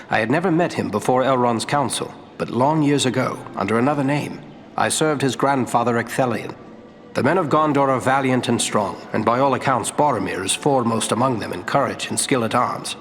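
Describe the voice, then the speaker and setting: A man playing a noble, courteous ranger of royal blood in a fantasy game, speaking in sincere, measured, formal phrases. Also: mid-range voice, a bit bright, a little hiss or hum, close to an everyday voice. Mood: earnest. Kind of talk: fantasy game dialogue acting. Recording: long hall reverb, faint music.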